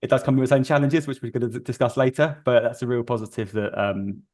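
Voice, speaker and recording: Businesslike man with a flat, dry voice. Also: mid-range voice, fast, no room sound. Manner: matter-of-fact, businesslike. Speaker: recruitment business director. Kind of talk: webinar panel talk.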